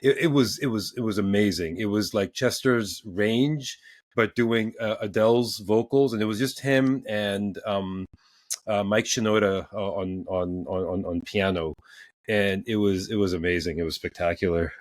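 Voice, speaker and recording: A man in his forties hosts a relaxed podcast chat in a low-pitched voice. He stops and restarts often, repeating words as he works toward a question. The recording is close and dry. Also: moderate pace, no room sound. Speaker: podcast host. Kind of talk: casual interview chat.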